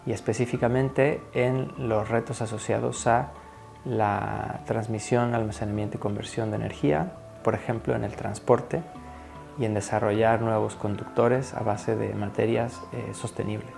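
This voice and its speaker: Gravelly Mexican man, measured. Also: on the low side, fairly even intonation, music in the background. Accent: Mexican Spanish. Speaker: researcher. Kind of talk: interview answers.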